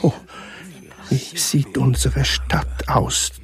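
A man narrating a radio drama in a grave, measured, solemn way. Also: mid-range voice, fairly even intonation, in a whisper, soft background music. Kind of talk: slow, solemn literary narration.

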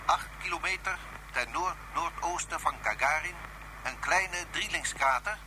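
An actor playing a respectful, methodical base radio operator in a radio drama. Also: male voice, on the high side, unhurried, background noise, lightly acted. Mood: attentive. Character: deferential, efficient male base radio operator.

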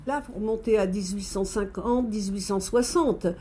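A woman's voice: medium pitch, normal speed, dark tone, slightly rough. A woman in her seventies from Paris, answering as an interviewed shopkeeper. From Paris, France. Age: 70s. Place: small umbrella boutique. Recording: faint shop ambience.

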